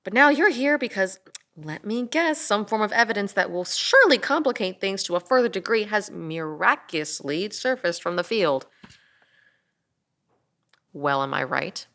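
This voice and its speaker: A woman's voice: mid-range voice, animated, normal speed, sharp tone. Woman playing tough female police officer, mid-range voice, sardonic, insistent, weary, no-nonsense, audio drama read.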